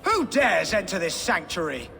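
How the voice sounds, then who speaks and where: Game role: fanatical pious monk, very high animated delivery, declamatory, cavernous reverb and clear music. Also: male voice, normal speed, full character voice. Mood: outraged. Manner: indignant, declamatory. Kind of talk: video game cutscene acting. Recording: long hall echo under loud music.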